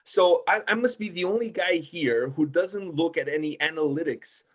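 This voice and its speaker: Higher-voiced, blunt man in casual discussion. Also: normal speed. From Portugal. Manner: blunt, emphatic, swears freely. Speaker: music interviewer with own video channel.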